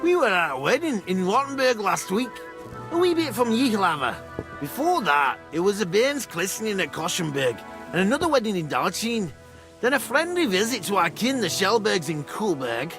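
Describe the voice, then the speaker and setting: An actor playing a wry, chatty roving young nobleman with a Scottish accent and a creaky voice in a medieval game. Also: high-pitched, big pitch swings, moderate pace. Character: breezy, roving young Moravian nobleman, man. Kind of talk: video game dialogue acting. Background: music.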